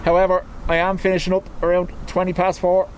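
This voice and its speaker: A man's voice: sits high, completely flat delivery, a little fast, a little hiss or hum, rough recording. An Irish man hosting a live stream, chatting casually with viewers and laughing easily as he answers their messages. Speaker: livestream host. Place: outdoors beside an airport.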